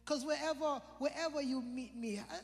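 Brief: male preacher, very high voice, chatty and joking, echoing hall with soft music underneath. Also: fairly quick. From British Virgin Islands. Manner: conversational, joking, repeats phrases for emphasis. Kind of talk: sermon. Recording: long hall reverb with faint music.

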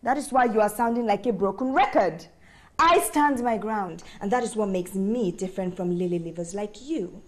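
A woman's voice: medium pitch, normal speed, slight background noise, lightly acted. An actress playing a haughty, hot-tempered young woman in a film drama, confrontational and boastful with theatrical insults. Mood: defiant, boastful. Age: young adult.

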